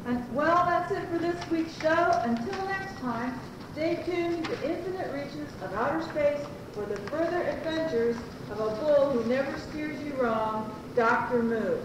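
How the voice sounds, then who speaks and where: A woman's voice: sits high, unhurried, noisy background. A woman playing a perky character and announcer in an amateur old-time radio comedy serial. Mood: upbeat, theatrical. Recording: very echoey, distant, loud music behind.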